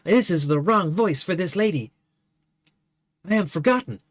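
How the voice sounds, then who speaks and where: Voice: mid-range voice, lively intonation, normal speed, no echo, clean studio sound, close to an everyday voice. A reader voicing Liaya, a heartbroken young woman in a stage tragedy, wailing in an overwrought, hammy way. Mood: despairing.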